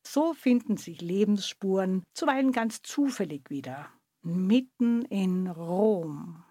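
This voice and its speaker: Measured woman narrating. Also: medium pitch, expressive, dark tone, no echo, studio quality. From Carinthia, Austria. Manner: measured, deliberate storytelling. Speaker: radio feature presenter. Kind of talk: scripted radio narration.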